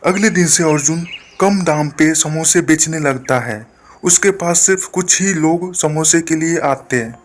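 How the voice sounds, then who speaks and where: Casting: male narrator for bedtime moral tales, fairly high-pitched, scripted storytelling delivery. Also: a little slow, a little hiss or hum.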